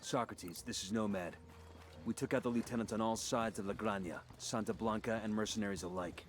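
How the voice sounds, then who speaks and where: An actor playing a special forces squad leader who talks in clipped, tactical lines. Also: male voice, fairly low pitch, steady tone, a little fast, lightly acted. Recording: game audio with very loud background noise.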